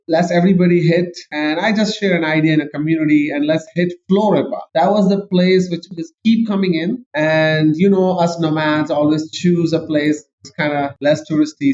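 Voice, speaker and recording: Pakistani-accented guy, rambly and eager, kinda high voice, muffled lo-fi audio. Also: darkish tone. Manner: eager, rambling, self-joking. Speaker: guest. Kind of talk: casual interview chat.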